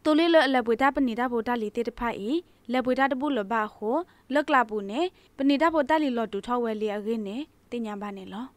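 High-pitched woman reading radio news. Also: no room sound.

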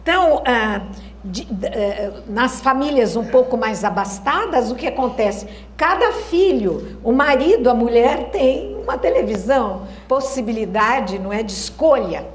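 A Brazilian woman speaking to students in a roomy hall, her fairly high voice rising and falling with animation. She pauses and restarts as she works her ideas out, and often turns to the audience with questions. Faint noise sits under the room echo. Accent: Brazilian Portuguese. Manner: thoughtful, hesitant restarts, rhetorical questions to the audience. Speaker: lecturer. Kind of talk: university lecture. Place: lecture hall.

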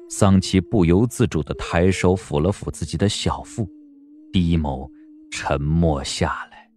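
A man's voice: low-pitched, darkish tone, dry recording. A man giving dramatic scripted narration for a historical audio drama, as an audiobook narrator.

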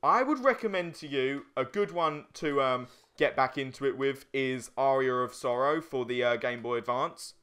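A man's voice: mid-range voice, moderate pace, few pauses, fairly bright tone, clean tone. A young man chatting casually as he co-hosts a video game let's play, informal and given to swearing. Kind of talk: casual chat while playing a video game.

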